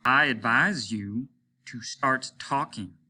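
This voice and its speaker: A man's voice: mid-range voice, takes it slowly, sharp tone, exaggerated voice. A man voicing a desperate, haggard spaceship captain in a story reading, insistent and demanding. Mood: angry, threatening. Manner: insistent, demanding, repeats himself urgently. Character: desperate, haggard, obsessive male spaceship captain, gun-wielding bully.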